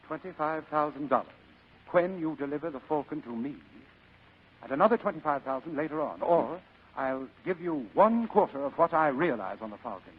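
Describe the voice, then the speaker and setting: A sly, fat older gentleman villain in vintage radio style. The voice sits fairly high for a man and swings widely as it savours courtly phrases. The sound is a muffled old broadcast. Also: expressive, moderate pace, slightly rough. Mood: genial bargaining. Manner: courtly, leisurely, ornate speech. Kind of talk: 1940s radio acting.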